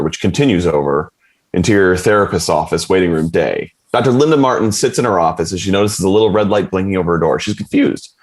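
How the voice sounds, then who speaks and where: Male narrator, slightly low voice, reads screenplay action lines informally. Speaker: narrator reading stage directions.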